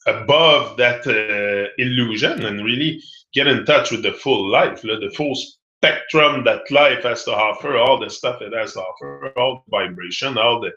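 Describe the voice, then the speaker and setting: A man speaks slowly in a bright, forceful voice, hosting a live motivational talk. He is upbeat and encouraging, leans on catchphrases and rambles through restarts and fillers. His voice sounds a little worn from singing, and the room adds plenty of echo. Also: mid-range voice, muffled recording. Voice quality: loose, rambling delivery with frequent restarts and fillers. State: voice strained from singing. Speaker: motivational host.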